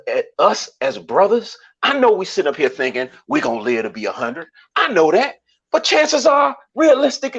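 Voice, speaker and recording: Animated high-voiced Southern man. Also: husky. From Savannah, Georgia. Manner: animated, preachy, many restarts. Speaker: show host. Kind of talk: casual motivational talk. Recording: dull lo-fi audio.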